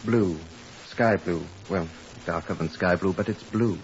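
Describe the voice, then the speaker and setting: An actor playing a dry, sceptical police superintendent in a 1950s radio drama, with a clipped, matter-of-fact manner. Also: male voice, low-pitched, a little slow. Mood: brisk questioning. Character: dry, sceptical male Scotland Yard superintendent. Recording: muffled hissy old radio recording.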